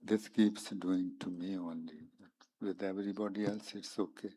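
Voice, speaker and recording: A man whose first language is Punjabi, giving a spiritual talk at a conference in a slow, meditative way, with many pauses between phrases. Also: low voice, fairly even intonation, warm tone, a little hiss or hum. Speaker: conference speaker. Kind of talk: spiritual talk mixed with sung verse. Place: conference hall.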